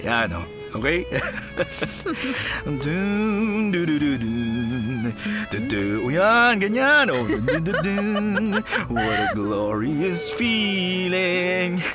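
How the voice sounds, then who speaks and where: A man's voice: high voice, sing-song, very slow, music in the background, heavily acted. An actor playing a playful, charming policeman husband and doting father in a Filipino audio drama, teasing and breaking into song. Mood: playful, exuberant.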